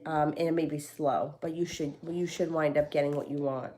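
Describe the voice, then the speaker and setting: A woman's voice: deep voice, steady tone, normal speed, mellow. A woman with a stuffy, congested voice giving casual tarot readings, talking in a rambling, chatty way full of fillers and restarts. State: stuffy from a cold. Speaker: tarot reader. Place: home.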